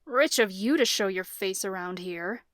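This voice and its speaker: A woman voicing a scornful middle-aged father who speaks slowly and cuttingly. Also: mid-range voice, animated, fairly bright tone, no echo, clean studio sound, natural voice. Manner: snarling, slow and cutting.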